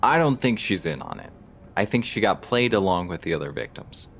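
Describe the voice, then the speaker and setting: A man's voice: low voice, big pitch swings, fairly quick, slight background noise. An actor playing an earnest, thoughtful police detective who stands his ground in an audio drama. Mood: earnest, insistent. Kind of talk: audio drama acting, conversational.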